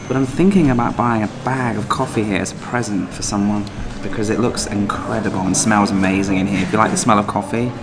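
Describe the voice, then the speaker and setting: A man, chatting casually to a handheld camera as he travels, talking to animals in a high playful voice now and then. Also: fairly even intonation, fast, hardly any pauses, crowd noise. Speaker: travel vlogger. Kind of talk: casual travel vlog chat. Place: outdoors at a petting farm and a dam. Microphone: handheld camera at arm's length.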